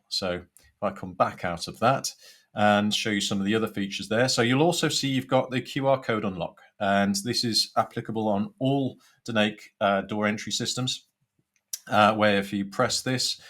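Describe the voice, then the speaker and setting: A man's voice: on the low side, a bit bright. A man giving an informal step-by-step product demonstration, hesitating and thinking aloud as he goes. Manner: hesitant, thinking aloud while tapping through steps. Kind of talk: informal screen-by-screen tutorial.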